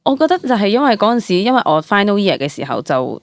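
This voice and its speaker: A woman's voice: mid-range voice, quick pace, no echo, clean studio sound. A woman in her late twenties with a Hong Kong Cantonese accent, chatting casually as an interview guest, exclaiming as she tells things and mixing in English words. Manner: animated storytelling with exclamations, English words mixed in. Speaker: guest, stage actress. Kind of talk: casual personal interview chat.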